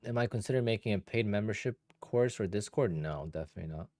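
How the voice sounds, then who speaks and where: A man's voice: on the low side, fast talker, no echo, clean studio sound. A man in his thirties from Poland, a day trader chatting casually and rambling as he answers viewers on a live stream. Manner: relaxed, rambling, thinks aloud with restarts.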